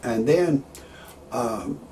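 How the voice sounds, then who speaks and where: A man in his sixties talking in a rambling monologue with stand-up style jokes, halting often on fillers and restarts. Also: mid-range voice, expressive, dark tone, slight background noise. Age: 60s. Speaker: amateur online show host.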